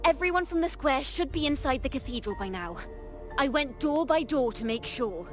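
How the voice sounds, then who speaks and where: A woman's voice: high-pitched, animated, moderate pace, over music. A woman voicing an earnest, resolute young heroine in a fantasy video game, speaking with determination.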